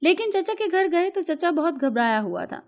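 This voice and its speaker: A woman's voice: extremely high pitch, sing-song, moderate pace. A woman narrating a scripted story in the first person, as a storyteller.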